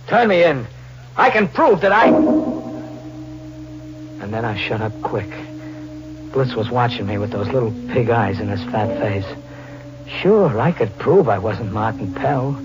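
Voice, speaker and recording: A man plays a wary male fugitive in a vintage radio crime drama. He talks in a terse, hard-boiled, slangy way at a middle pitch. The old recording is muffled. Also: natural voice. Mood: defiant, wary. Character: wary fugitive tough guy posing as a hired killer. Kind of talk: 1940s radio acting with first-person narration.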